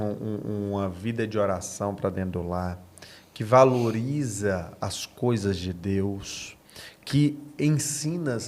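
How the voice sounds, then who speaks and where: A Brazilian man with a fairly low voice talks like a pastor in an interview. He explains in a conversational but preacherly way, repeating key phrases for emphasis. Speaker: pastor, podcast guest.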